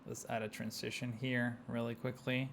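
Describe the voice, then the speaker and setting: Young argentinian guy streaming a city builder, gravelly voice, rambly, faint hiss behind. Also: medium pitch, level tone, normal speed, fairly bright tone. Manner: rambling, chatty, talks to chat, many "like" fillers. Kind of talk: casual gameplay commentary.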